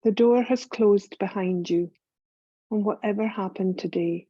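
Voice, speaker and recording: A woman guiding a scripted meditation as a yoga nidra teacher, calm and soothing, unhurried, with long pauses between phrases. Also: medium pitch, husky, no room sound, lo-fi sound.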